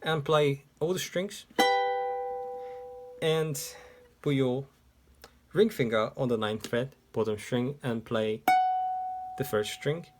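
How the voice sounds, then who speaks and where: A man's voice: medium pitch, over music. A man whose first language is Japanese, teaching a ukulele tutorial in English step by step, seated indoors. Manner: slow, step-by-step explaining. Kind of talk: music tutorial instruction. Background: ukulele playing.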